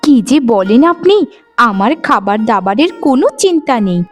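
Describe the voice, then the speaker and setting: A woman's voice: a little high, sing-song, normal speed, faint music behind. A woman playing a sweet, lonely and innocent young forest maiden in a fairytale cartoon, speaking earnestly and politely. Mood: gentle, wistful. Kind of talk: Bengali fairytale cartoon dialogue.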